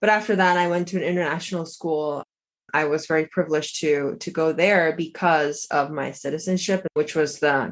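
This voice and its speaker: A woman in her thirties who grew up in South Korea answers interview questions about her path into law. She talks in a reflective, chatty way, full of "like" and small restarts. Her voice sits a little low for a woman. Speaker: guest, lawyer and health coach.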